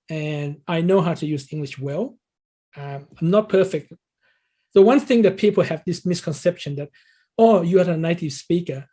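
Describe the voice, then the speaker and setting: A man's voice: fairly high pitch, mellow. A man in his sixties from Thailand, a native Thai speaker, answering interview questions conversationally and explaining things as a coach would. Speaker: interview guest, English learning coach.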